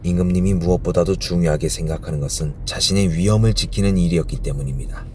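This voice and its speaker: A man's voice: sits low, quick pace, a little mellow, a little hiss or hum. A man reading a storybook as an audiobook narrator, in a bedtime narration style meant for sleep.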